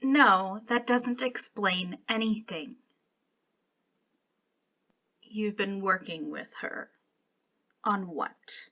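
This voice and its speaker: A woman's voice: medium pitch, bright, forceful tone. A woman playing an arrogant, jealous young princess in a dramatic ASMR roleplay, imperious but wounded and emotional. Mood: hurt, doubting. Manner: imperious, emotional, halting when hurt. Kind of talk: ASMR roleplay, dramatic one-sided dialogue.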